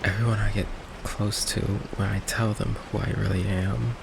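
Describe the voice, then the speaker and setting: A man playing a gentle, insecure goth vampire boyfriend in an ASMR roleplay, soft-spoken, halting and apologetic, with a gravelly voice. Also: deep voice, background noise, full character voice. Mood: resigned, hurt. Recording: steady rain ambience behind the voice. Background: rain ambience.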